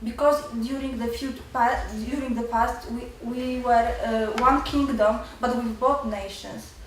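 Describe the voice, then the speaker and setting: A woman in her twenties with a Polish accent, a nervous student giving halting, unscripted remarks at a seminar. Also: fairly high pitch, steady tone. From Poland. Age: 20s. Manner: halting, repeats and breaks off words. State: nervous, stressed. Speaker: university student asking from the floor. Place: university seminar room. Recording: very echoey hall, distant, faint noise.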